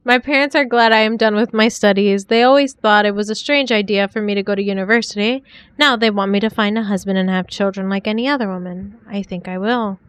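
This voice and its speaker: Woman reading as a smooth-voiced young lover from the 1940s. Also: medium pitch, brisk, a bit bright, dry recording, close to an everyday voice. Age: young adult. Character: young 1940s woman writing tender love letters. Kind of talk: reading letters aloud in a game.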